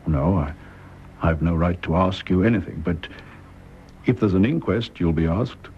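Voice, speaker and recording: An actor playing a stern, restrained British army major in a radio drama, formal and deliberate. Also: male voice, deep voice, lightly acted. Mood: grave, probing. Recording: muffled radio recording, clear background noise.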